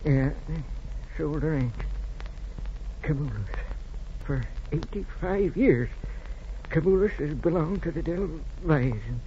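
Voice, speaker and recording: An actor playing a wistful, grieving man in a 1940s radio drama, speaking haltingly and trailing off on a muffled old recording. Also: a little high, dark tone, slight background noise, lightly acted. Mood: grieving, choked up. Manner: halting, trailing off, choked with sentiment.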